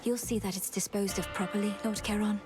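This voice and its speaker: A woman's voice: medium pitch, steady tone, brisk, natural voice. An actress playing a dutiful, determined young witch princess in a fantasy game, speaking formally and earnestly. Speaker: actor voicing a game character. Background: game music.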